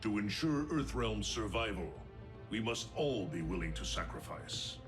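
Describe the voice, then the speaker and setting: Grim thunder god, lowish voice, dramatically varied pitch, solemn, declamatory, formal, video game cutscene. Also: male voice, sing-song, unhurried, music in the background. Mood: grave, resolute.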